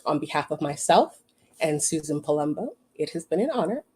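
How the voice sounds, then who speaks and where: An awards organizer reading a prepared formal speech in a solemn, measured and earnest way. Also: mid-range voice, expressive, darkish tone, breathy edge.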